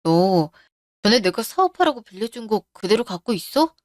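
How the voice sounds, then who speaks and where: A woman voicing a middle-aged man who is deep in debt and blames others, in a narrated radio story. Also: sing-song, moderate pace, dry recording, studio quality, lightly acted. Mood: lecturing. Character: failing middle-aged man, indebted front-man boss.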